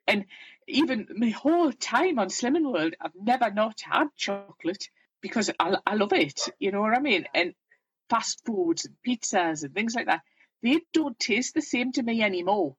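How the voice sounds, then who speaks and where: A chatty Geordie woman in her fifties, candid about her life and quick to laugh at herself. Her voice sits fairly high with a husky edge. The recording is close and dry, with a slightly dull, muffled sound. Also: lively intonation, no room sound. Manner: chatty, self-deprecating, plain-spoken. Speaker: guest. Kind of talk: candid personal storytelling.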